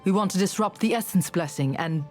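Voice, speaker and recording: A woman's voice: fairly low pitch, fairly quick, darkish tone, faint music behind, lightly acted. An actress playing a determined young woman rebel in a video game, earnest and subdued. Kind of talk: video game cutscene acting.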